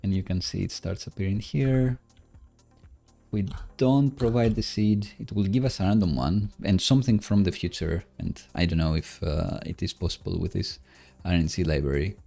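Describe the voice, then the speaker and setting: Guy live coding, mellow creaky voice, talks himself thru stuff, stops and starts, bit of music under it. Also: low voice. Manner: halting, musing, self-questioning. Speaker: live-streaming programmer host. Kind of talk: casual live coding commentary, thinking aloud.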